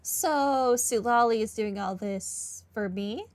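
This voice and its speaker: A woman's voice: on the high side, slow, darkish tone. A woman giving casual, reactive commentary while playing through a video game. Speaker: game streamer.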